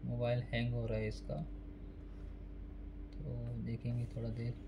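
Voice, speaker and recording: A man's voice: medium pitch, monotone, unhurried, highs cut off, heavy background noise. A man giving a casual step-by-step phone repair tutorial, speaking plainly and repeating each step while working at a desk. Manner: plain, repetitive, instructional.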